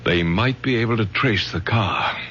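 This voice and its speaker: A man's voice: fairly low pitch, moderate pace, sharp tone. A stern, commanding professor father. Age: middle-aged. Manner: firm, clipped, takes charge. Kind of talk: 1970s radio drama acting. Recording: dull vintage radio recording with faint hum.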